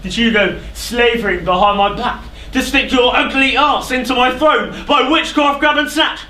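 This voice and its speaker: A man's voice: very high voice, moderate pace, sharp tone. An actor playing a proud, hot-tempered king in a stage verse drama, declamatory and scornful. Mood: bitter accusing. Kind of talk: theatrical verse stage acting. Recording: echoey theatre stage recording, faint noise.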